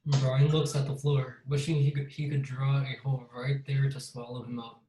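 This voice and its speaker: Even-toned man reading, roomy echo. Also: mid-range voice, normal speed, background noise. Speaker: read-aloud reader. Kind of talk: reading a children's picture book aloud.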